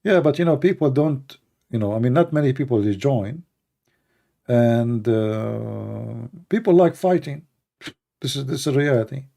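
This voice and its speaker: A middle-aged man with a gravelly, dark-toned voice and an Arabic accent. He speaks casually, pausing often with fillers and restarting his sentences. His opinions come out blunt and unhedged. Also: medium pitch. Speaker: livestream debate host. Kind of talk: casual unscripted talk answering questions.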